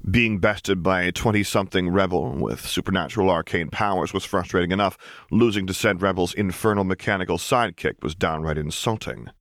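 A man's voice: low voice, fairly quick, fairly bright tone, no echo, studio quality. A man reading a story aloud as scripted audiobook narration. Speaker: audiobook reader.

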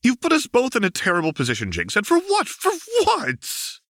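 A man's voice: extremely high pitch, huge pitch swings, moderate pace, a bit bright, no echo, clean studio sound, voice pushed up, full character voice. A man voicing a tense woman mage in an audiobook, speaking tersely with exasperated reproach. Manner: tight, terse, scolding. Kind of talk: audiobook character voice.

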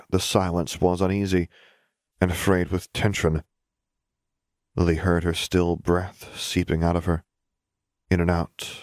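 Low-voiced man narrating a story. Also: darkish tone, no room sound, clean studio sound. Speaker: audiobook narrator.

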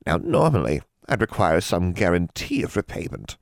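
Sly mocking old hag lender, man doing the voice, lively swooping cackle, dry close sound. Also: sits low, vocal fry, no room sound, heavily acted. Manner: cackling, teasing, drawn-out.

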